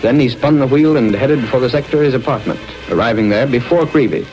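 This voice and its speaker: A man's voice: mid-range voice, fast, a little mellow, lo-fi sound, soft background music, natural voice. A man narrating a 1930s radio serial in an urgent, scripted storytelling style.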